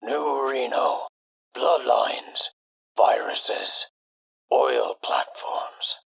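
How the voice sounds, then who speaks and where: Man voicing a very gravelly hulking lady mutant mystic. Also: mid-range voice, dull audio, exaggerated voice. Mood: cryptic, ominous. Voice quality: gravelly, husky rasp. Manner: slow, cryptic, speaks in riddles. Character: hulking cryptic lady nightkin mutant, rambling prophetically. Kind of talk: post-apocalyptic audio drama acting.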